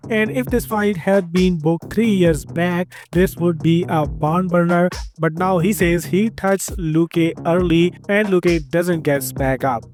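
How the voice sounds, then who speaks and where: A man's voice: high voice, unhurried, hardly any pauses, darkish tone, faint music behind. Upbeat Indian man reading fight news. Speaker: host of an MMA news channel. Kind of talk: scripted news roundup.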